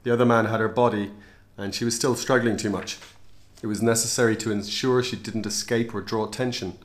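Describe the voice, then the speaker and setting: A man's voice: on the low side, fairly even intonation, fairly quick, natural voice. A man playing a cold, defiant intelligence operative in a radio drama, terse and matter-of-fact. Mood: cold, evasive. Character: cold, defiant male intelligence operative, cornered but threatening. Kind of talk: tense radio drama dialogue.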